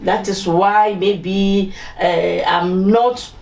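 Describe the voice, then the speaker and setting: A woman's voice: medium pitch, fairly even intonation, very slow, darkish tone. A woman hosting a casual interview, chatty and teasing, often humming "mm-hmm" along. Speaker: interview host. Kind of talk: casual interview, switching into Twi for the sign-off. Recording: echoey room, distant mic, faint noise.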